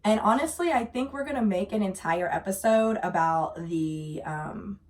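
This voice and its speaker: A woman co-hosting a podcast from a home podcast room, rambling casually with laughs, her voice still slightly raspy after an illness. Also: mid-range voice, moderate pace, darkish tone. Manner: chatty, rambling, with frequent laughs. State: voice recovering from bronchitis. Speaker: podcast co-host. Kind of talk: casual chat.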